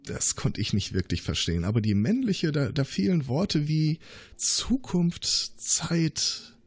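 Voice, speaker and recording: A man's voice: fairly high pitch, big pitch swings, a little slow, breathy edge, full character voice. A man voicing a paranoid male scientist in a tabletop game, nervous and contradicting himself. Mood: evasive. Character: paranoid male scientist, conspiracy-minded, evasive. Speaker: player voicing a suspect.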